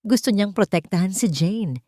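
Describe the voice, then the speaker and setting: A woman narrating a dramatic audio love story in a steady, expressive way. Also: medium pitch, normal speed, dry recording, studio quality.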